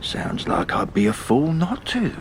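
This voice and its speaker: A man's voice: a little high, wildly swooping pitch, a little slow, a little hiss or hum. An actor playing a shrewd, wary British betting man in a period audio drama, matey and teasing with colloquial, sardonic phrasing.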